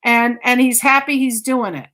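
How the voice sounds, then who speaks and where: A woman's voice: on the high side, normal speed, fairly bright tone, a little hiss or hum. A woman giving a conversational live mediumship reading, insistently repeating phrases and relaying messages as quotes.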